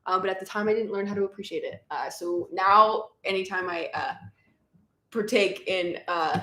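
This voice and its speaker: A woman's voice: mid-range voice, moderate pace, bright, forceful tone. A woman co-hosting a livestream, chatting casually with dry, joking remarks. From American South. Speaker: livestream co-host and musician. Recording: roomy.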